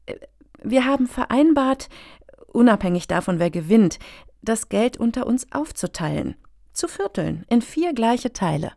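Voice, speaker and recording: Actress as haughty female lobbyist, gravelly fry, very animated melody, wry, radio satire. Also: a little high, measured pace, no echo, clean studio sound, heavily acted. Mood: admitting. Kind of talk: German radio satire acting.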